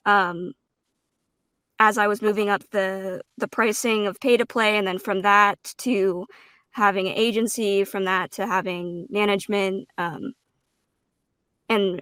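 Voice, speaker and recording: Woman voice actor chatting on a panel, smooth clear voice, dry close sound, pauses to find her words. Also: medium pitch, fairly bright tone, dry recording. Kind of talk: casual panel chat.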